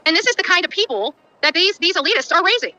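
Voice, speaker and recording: A woman rants on a livestream in a high, piercingly bright voice. She is indignant and emphatic, racing along with lively swings in pitch. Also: fast talker, poor audio.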